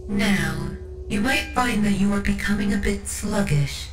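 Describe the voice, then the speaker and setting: A woman playing a composed, coolly superior tech priest of a machine cult in a scripted ASMR roleplay, speaking formally and deliberately to the listener with faint condescension. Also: mid-range voice, far from the mic, close to an everyday voice. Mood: clinical, instructing. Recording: roomy echo over background music.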